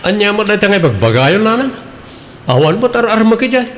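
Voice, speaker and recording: A man's voice: high voice, very animated, fairly quick, fairly bright tone, a little hiss or hum. A man playing an evasive, wheedling young adult son in a comedic radio drama, with a pleading, defensive, colloquial way of talking.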